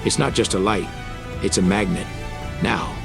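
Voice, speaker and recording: An actor playing a resolute, indignant crime fighter in a motion comic dub, speaking in a serious, insistent way. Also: male voice, fairly low pitch, normal speed, creaky. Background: dramatic music.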